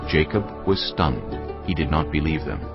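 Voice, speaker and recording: Deep guy narrating the bible dramatically, music behind him, bit muffled. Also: big pitch swings, moderate pace. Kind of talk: dramatic scripted scripture narration. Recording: dull audio with clear music bed.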